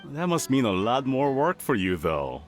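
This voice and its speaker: An actor voicing a friendly village man who talks warmly and neighbourly. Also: medium pitch, very animated, normal speed, faint music behind, close to an everyday voice. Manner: warm and neighbourly.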